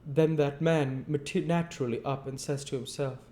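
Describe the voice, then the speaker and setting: A man's voice: on the high side, fairly even intonation, moderate pace, warm tone, pitched above the performer's own voice, close to an everyday voice. A man voicing a kindly, uneducated country man from a novel, earnest and argumentative, with rustic, emphatic phrasing. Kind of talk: audiobook character voice.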